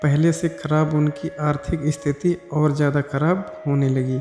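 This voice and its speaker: A man's voice: a little high, fairly even intonation, a little slow, mellow. A man narrating a scripted story as a storyteller. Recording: echoey hall-like reverb over music. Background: background music.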